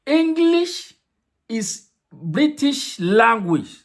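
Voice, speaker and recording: A man's voice: extremely high pitch, very animated, extremely slow. A man with a Yoruba Nigerian accent delivering a fiery, mocking political commentary, switching between Yoruba and English. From southwest Nigeria. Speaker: online commentator.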